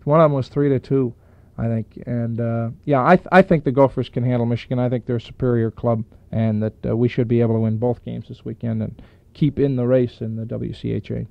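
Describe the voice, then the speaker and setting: An American man gives off-the-cuff sports talk with a creaky, gravelly edge. He is matter-of-fact and wordy, often hesitating as he goes. The sound is a dry, dull 1960s AM radio recording. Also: mid-range voice, fairly even intonation, brisk, dry recording.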